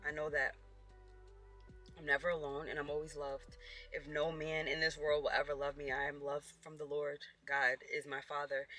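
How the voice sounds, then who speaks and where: Tearful woman with a very bright, tense voice. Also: deep voice. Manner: earnest, vulnerable. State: emotional, tearful. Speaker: personal vlogger. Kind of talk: emotional personal confession to viewers.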